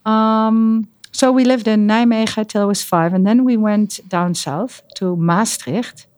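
A middle-aged Dutch woman with a Dutch accent, speaking English as a second language as a lively podcast guest in an office, sometimes searching for words. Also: medium pitch, measured pace, clean tone. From Netherlands. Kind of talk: casual interview conversation.

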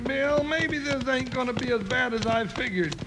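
An actor playing a big, jittery, comic cowboy sidekick with folksy, grumbling American talk in a 1950s radio Western. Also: male voice, extremely high pitch, normal speed, over loud music. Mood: uneasy. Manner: folksy, whiny frontier talk. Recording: very noisy old-time radio recording.

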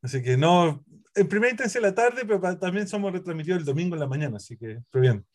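Man, chilean accent, priest hosting a chat show, higher voice, loose rambling delivery. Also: very animated, quick pace. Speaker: priest and host. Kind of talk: casual radio chat.

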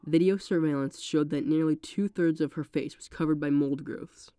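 A narrator getting over a cold, sounding congested, reading a horror case file in a steady, measured way. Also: medium pitch, clear, resonant tone, no echo, clean studio sound.

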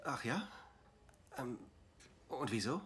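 A man's voice: mid-range voice, sing-song, slow, a bit bright, a little hiss or hum. An actor playing a distressed, bitter young man, blunt and exasperated, in a dubbed TV drama. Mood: wary, hurt. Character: distressed, broke, bitter young man dragged into murder cases.

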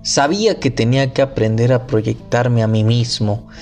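A man slowly reading a nonfiction book aloud, careful and deliberate, now and then stumbling over a word and starting it again. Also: mid-range voice, a little mellow, faint music behind. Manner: slow, careful reading with occasional slips and restarts. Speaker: amateur reader.

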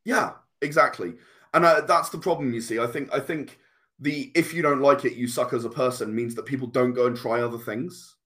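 A man's voice: mid-range voice, brisk, a bit bright. A man in his late thirties, chatting casually as a podcast guest in an earnest, emphatic way, repeating phrases for stress. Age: late 30s. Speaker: podcast guest, comic and game creator. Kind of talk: casual conversational interview.

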